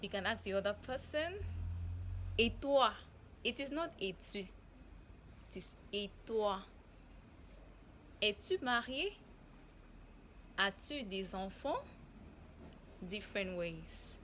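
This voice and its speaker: A young woman from Cameroon gives a beginner language lesson at a very unhurried pace, saying each example phrase slowly and deliberately. Her pitch sits in a middle range. Steady background noise with passing vehicles runs under her voice. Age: young adult. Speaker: French teacher.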